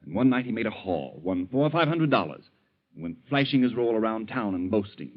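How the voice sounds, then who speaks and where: An actor playing a mild but shrewd bank teller who probes in a folksy, leading way, in 1940s radio style. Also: male voice, lowish voice, very animated, fairly quick. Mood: storytelling. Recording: dull, lo-fi 1940s radio recording.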